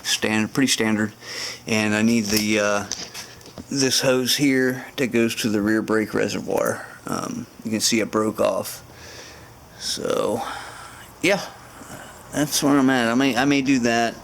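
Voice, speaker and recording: A man's voice: fairly low pitch, fairly even intonation, slow, vocal fry, pauses often, slight background noise. Rambling man talking through a repair. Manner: rambling and thinking aloud, many fillers and restarts. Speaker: motorcycle restoration vlogger. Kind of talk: casual garage walkthrough to camera. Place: home garage.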